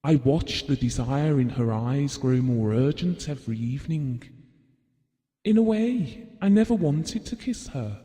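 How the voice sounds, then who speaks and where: An actor playing a gentlemanly, possessive husband who looks back wistfully in an audio drama, measured and reflective. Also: male voice, medium pitch, animated, lightly acted. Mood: tender, possessive. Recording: long reverberant hall sound.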